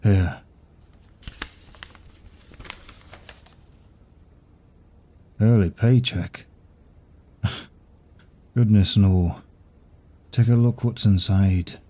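A man playing a devoted, secretive CEO in an intimate audio roleplay, soft-spoken and husky, with sighs and pauses. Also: on the low side. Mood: tender, teasing. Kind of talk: intimate ASMR roleplay acting, one side of a dialogue.

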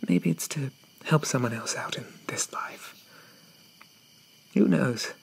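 Man playing a shy, whispering, creaky zombie gentleman. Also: medium pitch, animated, slow pace, heavily acted. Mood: gentle, musing. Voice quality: breathy, often whispered. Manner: hesitant, apologetic, softly murmured, old-fashioned politeness. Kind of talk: whispered ASMR roleplay.

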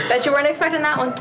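A woman's voice: very high-pitched, far from the mic. A young woman chatting casually as she answers questions. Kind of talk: casual chat. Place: indoor school hallway. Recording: off-mic with chatter behind.

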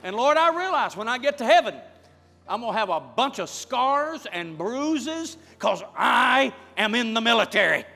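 High, bright-voiced preacher man in a hall. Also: big pitch swings, normal speed, vocal fry. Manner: fervent, building to loud praying. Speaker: pastor. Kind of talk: sermon and prayer. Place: large church sanctuary with congregation. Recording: church hall with music behind.

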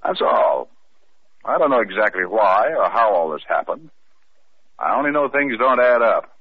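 A man's voice: lowish voice, animated, normal speed, breathy edge, no room sound. A man playing a wisecracking detective who narrates and questions witnesses in an old radio mystery. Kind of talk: 1940s radio acting. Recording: tinny old radio recording with faint hiss.

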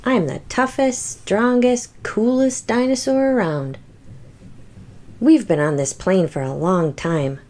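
A woman voicing an anxious, boastful but loving little toy T-rex in a picture book, with exaggerated, expressive delivery. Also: medium pitch, slow, close to an everyday voice. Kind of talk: children's picture book read-aloud.

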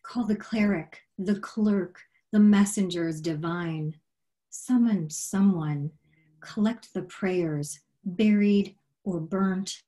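Slow, mellow woman reading poetry. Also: mid-range voice. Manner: measured, deliberate, solemn delivery. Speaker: poet reading her work. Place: small room at home, on a video call. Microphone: computer microphone on a video call.

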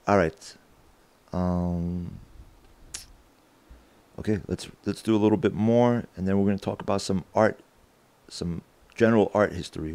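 A man in his thirties talking with his stream chat and freestyle rapping into a handheld mic in a small bedroom studio, chatty and quick with rhymes. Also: sits low, darkish tone. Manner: chatty, self-correcting, rhymes fast over a beat. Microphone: handheld mic held close. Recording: hip hop beat playing underneath. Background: hip hop beat.

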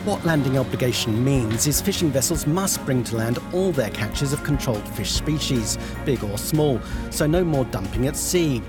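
A man's voice: mid-range voice, lively intonation, moderate pace, hardly any pauses, over music. A man reading scripted narration as a news documentary reporter.

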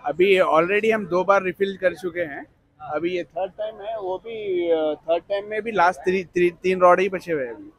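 Man who owns a stall, fairly high voice, dry close sound. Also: moderate pace, no room sound. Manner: plain, proud of his food. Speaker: food stall owner. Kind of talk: casual interview answers. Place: outdoor food stall.

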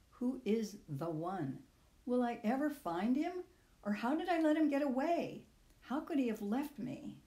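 An older woman in her sixties or beyond reads her own reflective personal essay aloud in a measured, literary way, with deliberate phrasing. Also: medium pitch, darkish tone, roomy sound. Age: 60s or older. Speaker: author reading own audio essay.

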